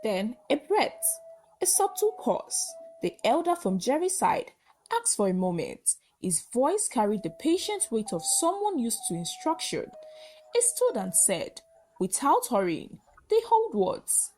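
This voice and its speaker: A woman narrating a scripted folktale in short, measured, dramatic phrases. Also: a little high, huge pitch swings, a little mellow, slight background noise. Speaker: storyteller, narrator.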